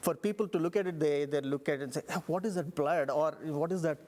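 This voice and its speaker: A middle-aged man from India with an Indian accent, a professor recounting his path in a relaxed interview, reflective, with many fillers and restarts. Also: a little high, fast talker, a little hiss or hum. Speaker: engineering professor. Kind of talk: interview storytelling.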